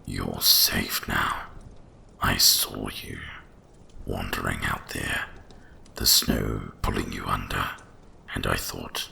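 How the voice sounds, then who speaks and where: A man voicing an ancient, gentle winter dragon in an ASMR roleplay, hushed and soothing, with a deep gravelly half-whisper and deliberate pauses. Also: fairly even intonation, slight background noise, heavily acted. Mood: tender, soothing.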